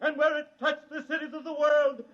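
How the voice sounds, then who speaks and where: An actor playing a frenzied cult priest in 1950s radio drama, declaiming fanatically about doom. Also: male voice, extremely high pitch, fairly even intonation, brisk, a bit bright. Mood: fanatical fury. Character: frenzied doomsday cult priest preaching destruction. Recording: muffled old radio recording, crowd noise behind.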